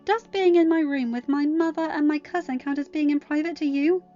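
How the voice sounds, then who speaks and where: Woman acting exasperated teen girl, high voice, whiny protests, dull recording, audiobook dialogue. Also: brisk, mellow, lightly acted. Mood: defensive. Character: irritable, stubborn teenage girl, defensive and exasperated. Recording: dull lo-fi home recording, music underneath.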